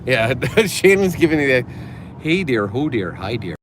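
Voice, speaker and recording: A middle-aged man talking easily in a mid-range voice as he hosts a live chat from inside a car. He greets viewers by name, chatty and quick to laugh. A faint hiss of noise sits under his voice. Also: mid-range voice, big pitch swings. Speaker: livestream host. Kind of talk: casual live chat reading viewer comments.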